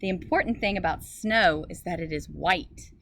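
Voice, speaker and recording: A woman teacher reading a picture book aloud to young children in an expressive way, with simple phrasing. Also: fairly low pitch, moderate pace, creaky. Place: outdoors in a school garden. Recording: noisy outdoor recording with ambient sound.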